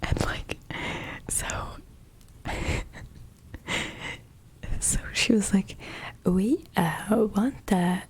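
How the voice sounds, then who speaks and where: A woman's voice: medium pitch, very slow, pauses often, a little mellow. Giggly whispering woman. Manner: whispering, giggles often, switches into French. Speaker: livestreamer reading chat. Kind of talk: whispered casual storytelling on a stream.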